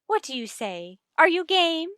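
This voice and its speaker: An actress playing a bossy, gushing middle-aged matchmaking wife with theatrical delivery, in old radio sitcom style. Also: female voice, very high voice, sing-song, unhurried, a bit bright, no room sound, clean studio sound, exaggerated voice. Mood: eager, instructing. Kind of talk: 1940s-50s radio sitcom acting.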